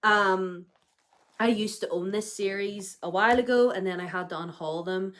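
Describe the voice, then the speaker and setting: A woman's voice: mid-range voice, moderate pace, fairly bright tone. A young woman talks casually about her new books with a slight cold in her voice. She is chatty, and her speech is full of ums and likes. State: has a cold, may cough. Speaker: book vlogger. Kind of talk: casual chat.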